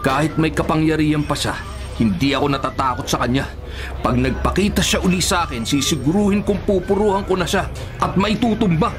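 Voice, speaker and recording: A man's voice: on the high side, brisk, natural voice. An actor playing a fearless, boastful policeman in a Filipino radio drama, a defiant man. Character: brash, fearless, defiant male police officer. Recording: dramatic music under the voice.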